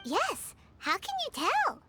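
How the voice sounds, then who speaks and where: A woman voicing a small, perky, naive mascot girl who loves food, with a squeaky childlike voice, in a video game. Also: huge pitch swings, slow pace, exaggerated voice. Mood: curious. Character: small excitable, food-loving floating mascot companion girl. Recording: music playing behind the voice.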